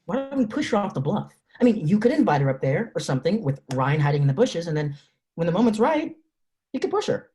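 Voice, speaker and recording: A man's voice: sits high, very animated, fast talker, mellow. A man reading a teenage boy who speaks wryly to the camera in a script table reading. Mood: scheming. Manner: conversational, wry, musing. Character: teenage boy, loyal friend, talks to the camera. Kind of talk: table-read script acting, direct-to-camera asides.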